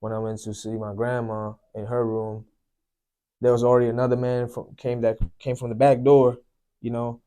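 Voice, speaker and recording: A young man from Sri Lanka, a guest telling his life story in a soft, unhurried way, often filling with "you know, man". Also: medium pitch, flat delivery, smooth. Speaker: guest sharing testimony. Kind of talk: personal testimony, casual storytelling.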